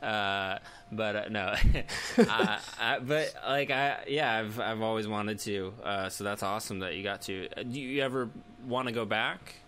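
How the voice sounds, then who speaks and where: A man's voice: fairly low pitch, unhurried, hardly any pauses, sharp tone, smooth. An American man hosting an interview, chatting casually and joking, laughing often. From United States. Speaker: interviewer, host.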